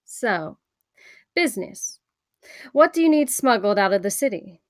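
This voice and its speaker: A woman's voice: mid-range voice, expressive, measured pace, no room sound, studio quality, close to an everyday voice. A woman reading the part of a sly, teasing female thief and smuggler in a screenplay table read. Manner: sardonic, teasing.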